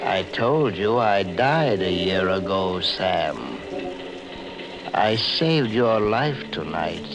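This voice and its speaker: An actor playing the calm, knowing ghost of a dead man, solemn and ominous in 1940s radio horror style. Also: sits low, drawn-out words, vocal fry, over music, heavily acted. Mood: eerie, solemn. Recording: old radio broadcast sound.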